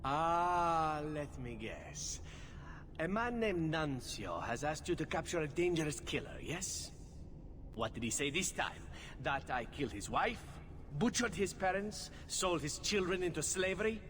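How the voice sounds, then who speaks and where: A man's voice: on the high side, sing-song, a little slow, a bit bright. A man playing a suave, flirtatious elf assassin in a video game, with a playful, theatrical delivery. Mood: teasing, flirtatious. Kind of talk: video game character acting. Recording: noisy ambience, long reverb.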